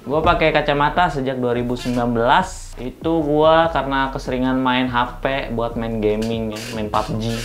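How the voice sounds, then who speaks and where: A man's voice: medium pitch, fairly even intonation, normal speed, few pauses, faint music behind. A man, talking casually. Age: 20s. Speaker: employee interviewee. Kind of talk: casual interview answers.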